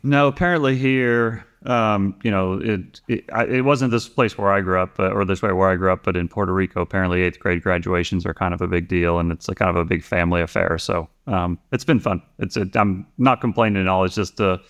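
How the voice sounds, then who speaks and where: A man's voice: sits low, fairly even intonation, a little fast, hardly any pauses, fairly bright tone. A man in his forties, a podcast guest, talking casually and rambling with frequent restarts and fillers. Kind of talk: casual conversation.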